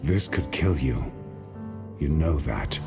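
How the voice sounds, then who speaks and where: An actor playing a stern, resolute warrior hero in a video game, terse, grim and determined. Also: male voice, extremely low pitch, big pitch swings, slow, vocal fry, over music, exaggerated voice. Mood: grave. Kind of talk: dramatic video game acting.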